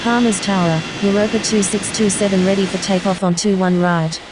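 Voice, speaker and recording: A woman's voice: mid-range voice, steady tone, fairly quick, background noise, lightly acted. A woman playing a businesslike airline pilot in a game, giving clipped, scripted radio calls. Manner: clipped, formulaic phraseology.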